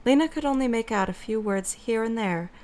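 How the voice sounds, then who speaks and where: A woman's voice: fairly high pitch, animated, brisk, a little mellow. A woman reading scripted story narration as the narrator of an audio drama.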